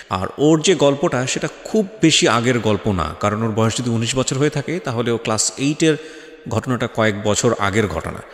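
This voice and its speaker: Male Bangladeshi interviewer, lowish voice, earnest reflective commentary, strongly echoing room. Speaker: talk show host. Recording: echoey, reverberant room.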